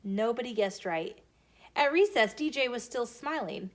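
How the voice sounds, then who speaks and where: An American woman reading a children's storybook aloud in an expressive, animated way as a storytime host. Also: fairly high pitch, normal speed. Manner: animated, emphatic character dialogue.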